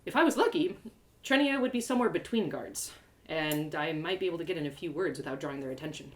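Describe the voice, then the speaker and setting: A woman reading her fantasy story aloud in a theatrical, expressive first-person narration. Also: deep voice, fast. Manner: theatrical, animated delivery.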